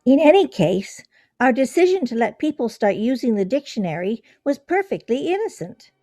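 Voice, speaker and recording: A composed, dryly reasonable woman played by an actress in a radio play. Her voice is soft and mellow, recorded close and dry, with a measured delivery. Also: a little high, very animated, no echo, soft background music. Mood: defensive.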